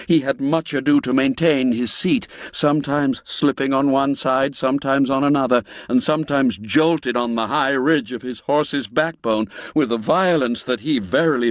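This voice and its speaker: A man narrating an audiobook as dramatic storytelling, with measured, deliberate, suspenseful phrasing. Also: mid-range voice, dry recording. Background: music under the voice.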